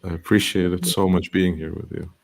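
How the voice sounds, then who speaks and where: A man hosting a motivational talk show, speaking earnestly and slowing down to stress quotations. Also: deep voice, flat delivery, vocal fry. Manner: earnest, quotes sayings, slow emphasis.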